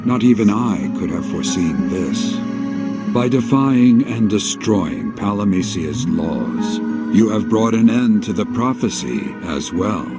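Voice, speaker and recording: Mysterious video game guide, lowish voice, very dramatic intonation, archaic formal phrasing, portentous, taunting. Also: male voice, lively intonation, measured pace. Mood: coolly knowing. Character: enigmatic male guide, grandiose, archaic, knowing and taunting. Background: game music.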